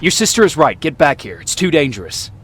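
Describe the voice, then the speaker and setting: A man playing a terse, steady young resistance soldier in a video game, speaking with understated reserve. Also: fairly high pitch, a little fast, breathy edge, full character voice. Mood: concerned.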